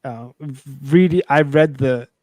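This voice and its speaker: A man's voice: mid-range voice, takes it slowly, a little mellow, no echo. A man hosting a casual online talk show, speaking in a loose conversational way. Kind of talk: casual political chat.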